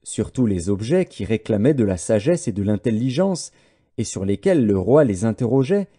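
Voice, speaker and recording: Male audiobook narrator for scripture: deep-toned mellow timbre, lively intonation, formal and measured.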